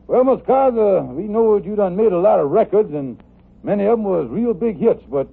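A good-natured, folksy everyman hosting an old radio comedy. His voice is high and very husky, with a gravelly edge. He talks earnestly and politely in homespun grammar. The sound is thin and boxy, like a vintage broadcast. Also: lively intonation, a little fast, heavily acted. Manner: folksy, ungrammatical, polite and deferential. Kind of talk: 1950s radio comedy acting. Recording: muffled, narrow-band old radio broadcast.

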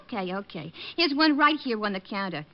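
An actress playing a brassy, argumentative shop clerk with an American accent, sassy and snappish, in old radio drama style. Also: female voice, mid-range voice, big pitch swings, fairly quick. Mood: grudging, tart. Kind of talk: 1940s-50s radio drama acting. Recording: dull vintage radio recording.

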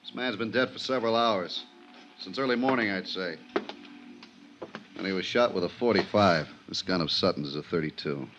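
A noisy old radio recording carries a deep-voiced police inspector, played by a man. He is steady and plainspoken, talking in a measured, businesslike way in the manner of 1950s radio drama. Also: highs cut off, lightly acted. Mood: matter-of-fact.

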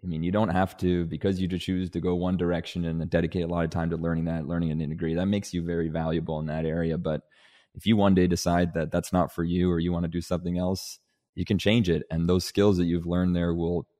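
A man in his twenties, originally from Denmark, talking as an interview guest in a relaxed, rambling way with restarts and "you know"s. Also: low-pitched. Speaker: guest, agribusiness vice president. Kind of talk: casual interview conversation.

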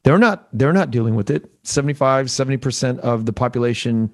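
A man hosting a casual interview podcast, speaking earnestly and haltingly as he searches for his words. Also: medium pitch. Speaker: podcast host.

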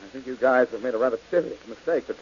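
An actor playing a wisecracking tough detective, dry and sardonic, in 1940s radio acting. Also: male voice, mid-range voice, rapid-fire, close to an everyday voice. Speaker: detective hero. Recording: dull old radio recording with hiss.

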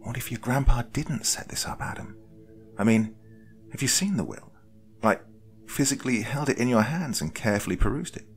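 A man voicing an earnest, sharp-minded young woman who questions insistently in a breathy way in a dramatic story. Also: medium pitch, expressive, moderate pace, slight background noise, voice pushed up, natural voice. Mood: urgent, suspicious.

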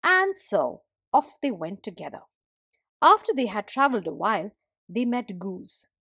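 A woman telling a folktale as expressive scripted narration for a storytelling podcast. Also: mid-range voice, a little slow, husky, no room sound, clean studio sound.

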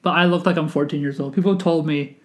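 Young guy hosting a podcast, high voice, rambly and earnest, keeps restarting. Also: fairly even intonation, fast talker. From Wisconsin, United States. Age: 20s. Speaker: podcast host. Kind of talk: casual chat.

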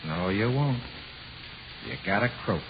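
Muffled, hissy forties radio horror. a dead convict's ghost with a low voice and tough gangster slang. He is laconic, taunting and coldly persuasive, his pitch moving freely. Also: animated, unhurried. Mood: grimly persuasive. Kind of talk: 1940s radio horror acting.

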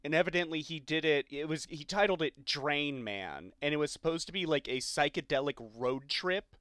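A man's voice: on the high side, moderate pace, fairly bright tone, smooth, no room sound, clean studio sound. A man co-hosting a podcast, chatting casually and informally about movies. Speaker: podcast co-host.

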